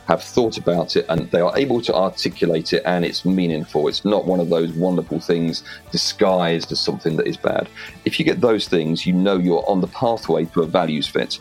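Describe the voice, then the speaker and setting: Low-voiced Welsh man, direct and confident. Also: a little mellow, low-quality recording. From Wales. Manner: direct, confident, plain-spoken. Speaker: guest. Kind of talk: interview advice. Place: home in rural Wales.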